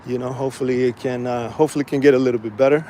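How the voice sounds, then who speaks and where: A man from Sweden casually chatting to viewers while walking outdoors by the water, relaxed and rambling with many fillers. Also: medium pitch, rapid-fire. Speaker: vlogger. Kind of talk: casual live-stream walking vlog. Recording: faint outdoor street noise.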